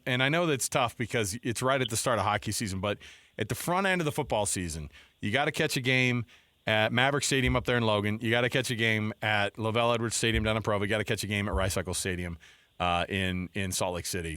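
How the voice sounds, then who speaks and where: A man with an American accent hosting sports talk radio, chatting casually in animated banter. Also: fairly low pitch, brisk, dry recording. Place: radio studio. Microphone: close studio microphone.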